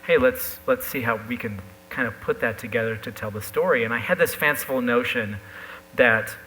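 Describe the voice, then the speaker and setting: Bright-voiced man on a live panel. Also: mid-range voice, moderate pace, low-quality recording. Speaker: illustrator on a discussion panel. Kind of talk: live on-stage conversation about a book. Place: live event stage. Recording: faint hum on live event audio.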